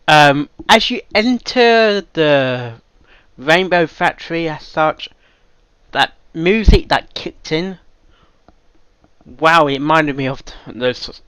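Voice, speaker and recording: Halting man with a slightly high voice. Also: a bit bright, clean tone, dry recording. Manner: halting, rambling, often repeats himself. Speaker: reaction video host. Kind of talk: casual off-the-cuff commentary.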